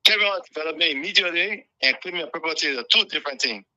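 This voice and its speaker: A man's voice: fairly high pitch, racing, pushed and bright, no echo. A man with a Liberian accent calling in to a political talk show, earnest and repeating words as he makes his point. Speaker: caller. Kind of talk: phone-in political comment. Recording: dull, dry call audio.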